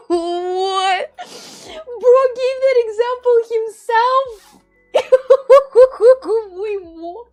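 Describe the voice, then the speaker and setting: High-voiced Russian woman streaming, argumentative. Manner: argumentative, breaks into disbelieving laughs and cries. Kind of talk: casual livestream chat.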